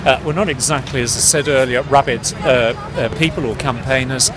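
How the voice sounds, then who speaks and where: A man's voice: medium pitch, a little fast. A man from the UK, a consumer campaigner, talking earnestly and directly to viewers outdoors. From United Kingdom. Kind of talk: outdoor campaign vlog to camera. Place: outdoors on a city street with traffic. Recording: outdoor street noise.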